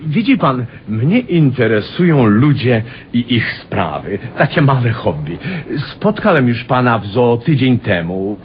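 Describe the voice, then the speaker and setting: A man's voice: medium pitch, sing-song, unhurried. An actor in a radio play as a polite, inquisitive man, courteous and deferential while he probes. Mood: apologetic, curious. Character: polite, inquisitive male stranger, amateur student of people. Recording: muffled old radio recording, faint hiss.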